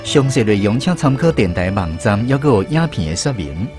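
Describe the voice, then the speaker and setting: A man's voice: lowish voice, sing-song, normal speed. A man with a Taiwanese accent presenting a radio programme as host, reading in a scripted style. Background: music.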